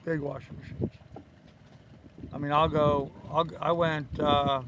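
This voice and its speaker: An older man with a hoarse voice, hosting a rambling, casual live stream in a halting, repetitive way. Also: on the high side, little pitch movement. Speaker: live-stream host of a sailing channel. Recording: loud wind and boat noise.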